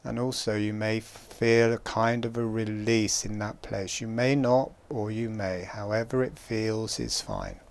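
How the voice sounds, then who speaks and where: A man guiding a breathing meditation, giving calm, gentle instructions with long pauses. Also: fairly low pitch, creaky. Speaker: meditation guide.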